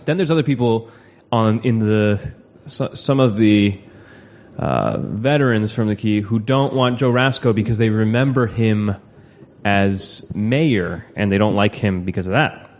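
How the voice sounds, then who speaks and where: Low-voiced chatty man hosting a podcast. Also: a little slow, a little mellow, a little hiss or hum. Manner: chatty, rambling storyteller with frequent asides. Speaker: podcast host. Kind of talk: casual chat. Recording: dull lo-fi podcast audio.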